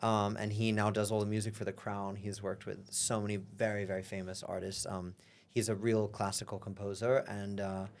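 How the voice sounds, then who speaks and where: A man's voice: lowish voice, level tone, normal speed, creaky. A young man born in London, a guest talking casually in an interview, rambling with many fillers. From born in London, grew up in Europe. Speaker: guest, singer and artist.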